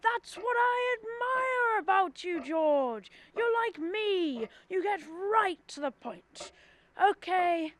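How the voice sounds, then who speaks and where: A woman's voice: very high-pitched, lively intonation, slow, fairly bright tone, full character voice. A teenage girl acting a scheming, smug rich businessman in a radio drama, persuasive and self-important. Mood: smug persuasion. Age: teens. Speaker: student radio drama actor.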